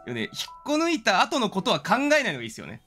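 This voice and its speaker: Male game streamer, lively and swooping pitch, theatrical joking asides, music underneath. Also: rapid-fire. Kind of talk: joking gameplay commentary, reading game dialogue aloud.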